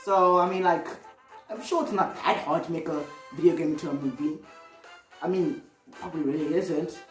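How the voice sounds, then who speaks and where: A woman's voice: sits low, moderate pace, far from the mic. Female video host, rambling and exclamatory, recorded off-mic in an echoey room with music underneath. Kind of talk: casual opinionated video commentary.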